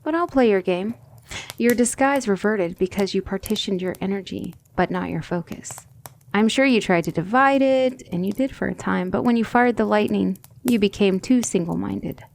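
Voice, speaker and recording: A woman acting a gentle, firm demon tutor, noisy recording. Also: mid-range voice, vocal fry, natural voice. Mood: patient, probing. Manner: soothing, measured, formal, sometimes whispery. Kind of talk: ASMR roleplay.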